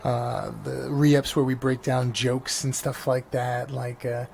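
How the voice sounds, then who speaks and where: A man's voice: medium pitch, level tone, slow, gravelly, a little mellow. A man from New York, a stand-up comedian hosting his own vlog podcast, rambling casually with lots of restarts and fillers. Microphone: janky mic. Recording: faint engine noise behind. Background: engine noise.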